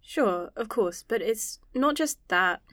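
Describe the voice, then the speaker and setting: A woman's voice: a little high, lively intonation, slow, a bit bright, no room sound, studio quality. A woman acting in an audio drama as an earnest, anxious scientist who rambles self-consciously. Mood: confiding.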